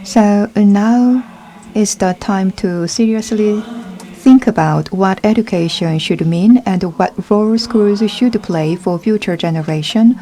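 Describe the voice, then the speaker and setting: A woman's voice: medium pitch, a little slow, warm tone. A woman giving formal scripted congratulatory remarks as an academic, delivered carefully phrase by phrase. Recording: faint background noise.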